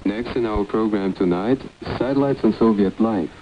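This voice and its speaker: A man's voice: fairly low pitch, moderate pace, highs cut off. A man doing scripted announcing on a shortwave radio broadcast. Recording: noisy distant shortwave radio recording.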